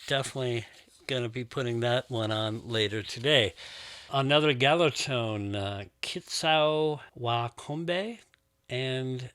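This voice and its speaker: A man's voice: mid-range voice, unhurried, clear, resonant tone, dry recording. A man chatting casually and enthusiastically as he unboxes old records and muses on each one. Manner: warm, musing, enthusiastic about records. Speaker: record collector and show host.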